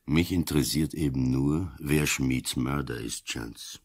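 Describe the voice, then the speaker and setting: Very deep, husky old police commissioner. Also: male voice. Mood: guarded, sly. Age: older. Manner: slow, deliberate, weighing each word. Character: old, gruff, cunning police commissioner, unhurried and guarded. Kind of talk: radio drama acting.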